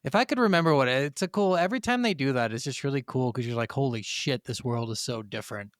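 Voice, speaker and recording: A man co-hosting a casual gaming podcast, amused and excitable, with plenty of swearing in his talk. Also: medium pitch, fast talker, no room sound, studio quality. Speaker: podcast co-host. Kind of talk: casual video game discussion.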